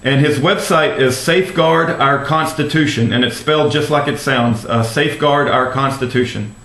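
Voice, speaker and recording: A roomy, echoing recording of an American man on a phone call. His pitch is average for a man. He speaks as an earnest, courteous advocate, often hesitating and circling back over his phrases. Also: normal speed, fairly bright tone. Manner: hesitant, repetitive, polite. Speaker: activist caller phoning a congressman's office.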